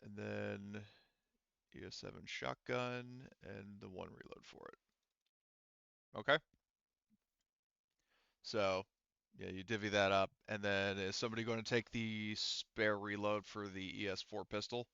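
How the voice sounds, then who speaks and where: A man's voice: on the low side, steady tone, measured pace, vocal fry, long pauses, sharp tone, clear, resonant tone, dry recording, dull audio. A man acting as game master in a casual tabletop roleplaying session, narrating and bantering.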